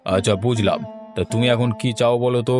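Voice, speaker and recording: A man playing an authoritative village landlord who acts as judge in a cartoon fairy tale, speaking in a deliberate, admonishing way. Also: medium pitch, steady tone, darkish tone, faint music behind, natural voice. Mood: measured.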